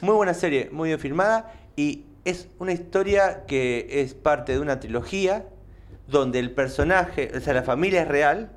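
A man's voice: on the high side, animated, moderate pace. A man with an Argentine accent, a film and TV columnist on a radio show, describing a series with enthusiasm in a studio. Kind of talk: casual radio chat.

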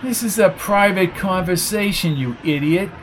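Guy acting a pushy loudmouth tough guy in a story, snappy and blunt, bit high, noisy audio. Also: normal speed, lightly acted. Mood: impatient. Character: brash, hot-tempered, bullying tough guy.

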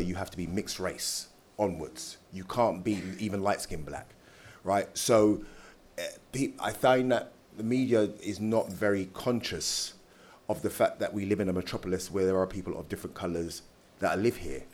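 A man's voice: fairly low pitch, darkish tone. A man speaking emphatically and conversationally as a panelist, often checking that listeners follow. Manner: emphatic, rhetorical check-ins. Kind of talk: panel discussion, conversational answer. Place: live panel event.

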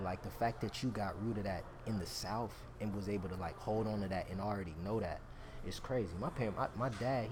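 A man in his early thirties from Oakland, California, talking casually on a podcast in an animated, slang-heavy way. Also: lowish voice, background noise. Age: 30s. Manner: animated, agreeable back-and-forth with slang.

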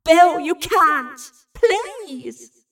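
A woman's voice: very high-pitched, expressive, fairly bright tone, far from the mic, voice pushed up, exaggerated voice. A woman playing a desperate, grieving mother in an audio drama, protective and anguished, drawing out her words. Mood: desperate pleading. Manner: pleading, anguished, drawn-out.

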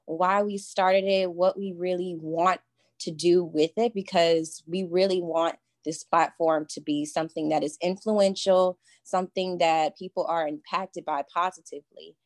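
Earnest young woman, low and dry. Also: steady tone, no echo. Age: young adult. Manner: earnest, measured, repeats herself to stress points. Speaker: podcast host. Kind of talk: podcast introduction.

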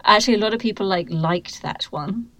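A woman's voice: medium pitch, a little fast, crowd noise, rough recording. A woman co-hosting a podcast in casual chat, hesitant with many ums and restarts, recording in a hotel-room cupboard on a computer mic that peaks. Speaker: podcast co-host. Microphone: computer mic, peaking.

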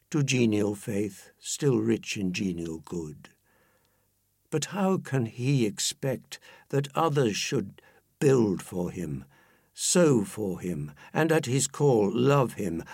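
Male poetry reader: slow, solemn, dramatic recitation with deliberate pauses, mid pitch, very dry sound. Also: darkish tone, slightly rough, no echo. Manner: measured phrasing with deliberate pauses.

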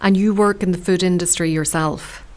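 A woman's voice: on the low side, fairly even intonation, moderate pace, darkish tone. A woman with a Ballymena accent from Northern Ireland, hosting a radio interview in a direct, friendly way. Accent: Ballymena, Northern Irish. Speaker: radio host.

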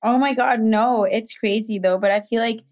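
A woman's voice: a little high, steady tone, normal speed, a little mellow. A woman from Mexico City with a Mexican accent, a podcast guest talking in a chatty way full of "like" and "you know". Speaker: guest, business founder. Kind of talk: casual podcast conversation.

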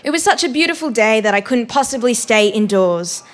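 A young woman giving an expressive live reading of a first-person story, acting out bits of dialogue. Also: on the high side, brisk. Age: young adult. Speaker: performing arts graduate reading a story aloud.

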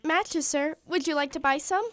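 A woman's voice: high-pitched, moderate pace, a bit bright, no room sound. A woman playing a poor, polite, hopeful young match girl in a radio play, speaking earnestly and formally. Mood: meek, polite. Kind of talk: stage-style radio play acting.

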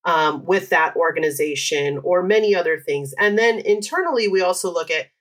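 Woman guest, low register, methodical and example-heavy explainer. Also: lively intonation. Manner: measured, explanatory, uses many examples. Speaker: employee resource group site lead. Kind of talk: podcast interview guest.

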